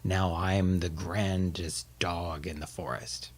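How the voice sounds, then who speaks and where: A man's voice: low-pitched, flat delivery, slow, breathy edge, full character voice. A man voicing a menacing male Doberman who growls his threats.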